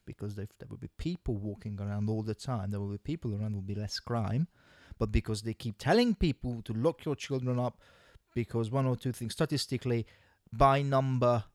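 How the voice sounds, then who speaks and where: A man chatting casually as a podcast co-host, stammering on starts and then rushing through long points. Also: lowish voice, no echo, clean studio sound. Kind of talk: casual chat.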